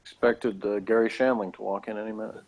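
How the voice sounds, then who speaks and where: A man's voice: lowish voice, fairly even intonation, fast talker, a little mellow, low-quality recording. A man chatting casually as co-host of a TV review show, with a sarcastic, mocking way of putting things down. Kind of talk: casual chat reviewing a sci-fi TV episode.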